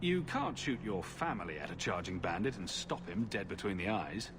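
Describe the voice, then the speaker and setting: Game lead: dry, blunt hero, low voice, noisy ambience behind him. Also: male voice, expressive, normal speed. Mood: wry, probing. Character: wry, blunt adventurer hero. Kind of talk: video game dialogue.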